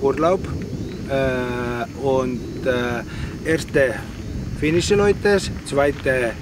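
A middle-aged man speaking halting German outdoors at a campsite, with many hesitations. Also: mid-range voice, background noise. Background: outdoor ambience.

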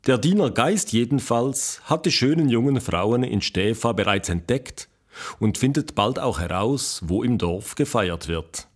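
A man narrating a scripted documentary radio play as its storyteller, with a husky voice. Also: medium pitch, expressive, a little slow.

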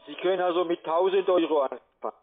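A man's voice: high voice, quick pace. A man working as a call centre agent, not a native German speaker, making a sales call. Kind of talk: phone sales talk. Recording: tinny phone line.

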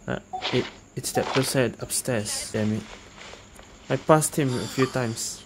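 Unhurried streamer chatting over a game. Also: medium pitch, noisy background. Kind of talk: casual gameplay commentary.

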